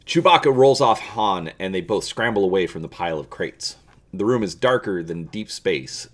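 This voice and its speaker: A man hosting a casual video and narrating an adventure story aloud in a measured, expressive way. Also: low-pitched. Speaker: channel host reading a gamebook aloud.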